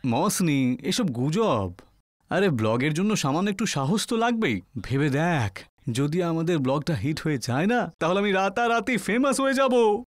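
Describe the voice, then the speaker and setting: A man voicing an excitable, reckless young ghost-hunting vlogger with a breezy, eager manner in an acted horror story. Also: on the high side, moderate pace, dry recording, clean studio sound. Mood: breezy, dismissive. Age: young adult. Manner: breezy, eager, dismissive of fear.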